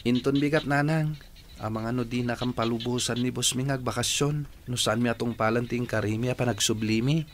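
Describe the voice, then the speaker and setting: A man's voice: medium pitch, fairly even intonation, brisk, a little hiss or hum, lightly acted. A man playing a respectful, devoted young working man in a radio drama. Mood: earnest.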